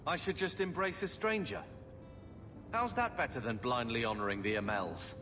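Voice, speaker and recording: An actor playing a resentful, sarcastic young brother in a fantasy video game drama. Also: male voice, medium pitch, normal speed, lightly acted. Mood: skeptical. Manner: sarcastic, sullen. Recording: long reverb, loud music behind.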